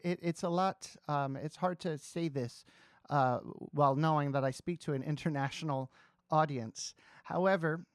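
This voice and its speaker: A man's voice: a little high, no room sound, studio quality. A man with a standard American accent giving explanatory reaction commentary as a vocal coach, often restarting and rephrasing his sentences. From United States, raised in New Jersey.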